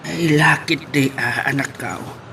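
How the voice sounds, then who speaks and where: A man's voice: mid-range voice, measured pace, vocal fry, pushed and bright, a little hiss or hum. A man playing an elderly, tender father who worries and sighs in an Ilocano radio drama. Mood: concerned.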